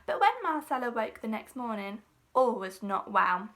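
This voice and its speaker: Female teacher as storybook reader, high and very bright voice, expressive delivery with dramatic emphasis. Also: normal speed. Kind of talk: expressive children's storybook reading.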